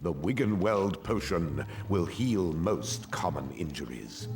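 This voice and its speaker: A man's voice: low voice, expressive, takes it slowly, over music, exaggerated voice. An actor playing a stern, cold male potions professor in a video game, speaking in a clipped, sneering, commanding way. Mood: cold lecturing. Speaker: teacher.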